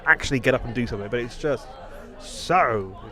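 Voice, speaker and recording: A man's voice: mid-range voice, brisk, sharp tone, a faint crowd. A man in a casual podcast chat, rambling and often rephrasing himself. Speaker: podcast host. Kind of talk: casual two-person chat.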